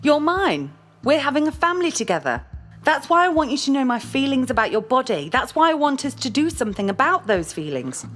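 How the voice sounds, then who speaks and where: A woman's voice: high-pitched, sing-song, fairly quick, fairly bright tone, faint music behind. An actress playing a blunt, domineering, self-assured girlfriend who scolds and swears in an audio drama. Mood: insistent. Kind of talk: dramatic audio play dialogue.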